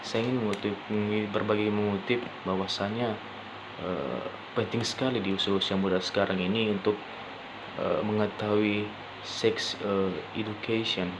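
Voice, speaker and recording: A young man giving an informal student presentation, speaking haltingly with frequent pauses and rephrasing. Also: on the low side, steady tone. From Indonesia. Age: young adult. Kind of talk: informal spoken presentation for a class assignment. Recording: dull lo-fi sound with clear background noise.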